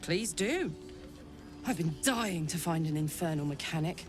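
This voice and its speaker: An actress voicing a chatty, earnest soldier with an infernal engine for a heart in a video game, with a British accent and blunt, expressive delivery. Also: female voice, low voice, measured pace. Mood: eager, hopeful. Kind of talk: video game character acting. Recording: game audio with music behind. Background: music.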